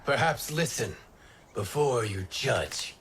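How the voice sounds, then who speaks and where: An actor playing a patient, earnest wind god with a composed, formal manner in a video game cutscene. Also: male voice, medium pitch, expressive, slow pace. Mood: calm. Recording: game dialogue under music.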